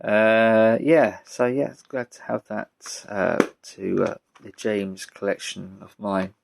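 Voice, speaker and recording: Low-key British man, halting casual chat. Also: little pitch movement, gravelly, a little hiss or hum. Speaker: vlogger showing a music collection. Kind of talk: casual show-and-tell chat.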